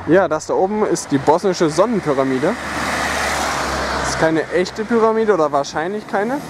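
Man talking over loud outdoor noise. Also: high-pitched, animated, normal speed. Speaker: travel vlogger. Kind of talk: casual, halting travel narration. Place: outdoors by streets. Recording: very noisy outdoor camera sound, traffic. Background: loud outdoor and car noise.

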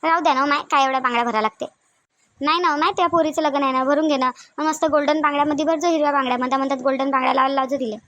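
Woman acting a high-pitched, chatty Varhadi village woman. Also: fairly even intonation, very fast, a bit bright, dry recording. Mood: chatty, bossy. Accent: Varhadi Marathi of Vidarbha. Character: chatty, bossy village women at a wedding ritual. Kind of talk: cartoon comedy dialogue.

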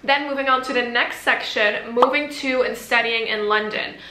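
A woman's voice: a little high, normal speed, echoey room, very noisy. A woman in her twenties from Belgium whose first language is Dutch, chatting casually to camera in a question-and-answer vlog, gesturing as she talks. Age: 20s. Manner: chatty, animated hand gestures. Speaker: vlogger. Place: bright room at home, indoors.

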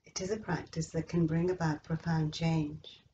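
A woman leading a guided yoga relaxation meditation in a slow, measured, soothing way with long pauses. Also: sits low, little pitch movement, dark tone, dull audio, a little hiss or hum. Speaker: yoga nidra instructor.

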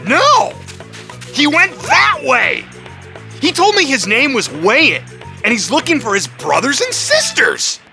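A friendly cartoon dog, high and forceful, with pitch leaping all over. The delivery is eager and exclamatory, over faint music. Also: huge pitch swings, slow pace, creaky, heavily acted. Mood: startled, earnest. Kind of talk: children's cartoon acting. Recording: background music.

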